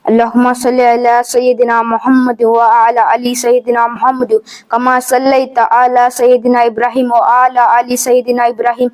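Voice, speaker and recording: A woman reciting memorized prayers aloud at a steady, even pace. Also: a little high.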